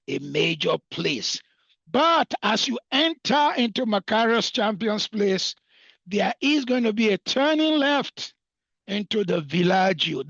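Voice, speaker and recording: A man's voice: high-pitched, gravelly, fairly bright tone, slightly rough, no room sound, lo-fi sound. A man from northern Nigeria with a Nigerian accent, speaking off the cuff as a property developer promoting an estate, with emphatic repetition and lists. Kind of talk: unscripted promotional talk at a roundtable.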